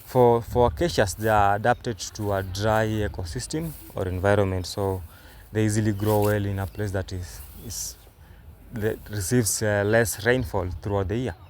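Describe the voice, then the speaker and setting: A man's voice: on the low side, fairly even intonation, moderate pace, slight background noise. A young Kenyan man whose first language is Swahili, giving hesitant, explanatory travel commentary from inside a moving car, recorded on a phone, with many fillers and restarts. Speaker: travel vlogger.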